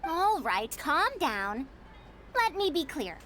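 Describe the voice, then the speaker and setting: A woman's voice: high-pitched, very animated, slow pace, a bit bright, a little hiss or hum, full character voice. A woman voicing a haughty senior female scholar in a video game, prim and self-important, with lofty phrasing. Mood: stern.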